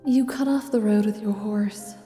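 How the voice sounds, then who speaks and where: A woman softly narrating a second-person ASMR fantasy story. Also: mid-range voice, fairly even intonation, normal speed, roomy sound, big-room sound, faint music behind.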